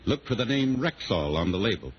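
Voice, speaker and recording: An actor playing a folksy, reassuring druggist in a 1940s radio advert. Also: male voice, on the low side, sing-song, brisk. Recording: muffled 1940s radio, faint hiss.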